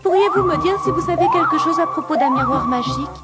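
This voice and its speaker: A woman voicing a gentle young fairy princess in a dubbed cartoon, talking in a soft, earnest, imploring way. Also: sits high, lively intonation, fast talker, reverberant, big-room sound. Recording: music playing behind.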